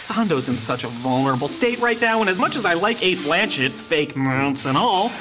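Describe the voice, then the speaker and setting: High, anxious robot boss. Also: female voice. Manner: flustered, earnest, overwrought. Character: anxious, people-pleasing robot boss of a small business. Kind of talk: audio comedy acting, mock-documentary. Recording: music playing behind the voice. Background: music.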